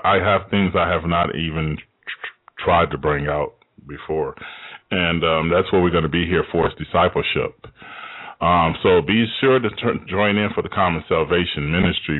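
Deep-voiced man, rambling, on tinny audio. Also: steady tone, normal speed, slightly rough. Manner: rambling, many fillers and restarts. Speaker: host. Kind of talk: casual radio show talk.

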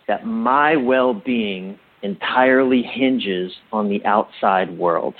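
Husky-voiced man explaining thoughtfully. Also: mid-range voice, phone-quality sound. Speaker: interview guest, relationship coach. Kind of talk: explanatory interview talk.

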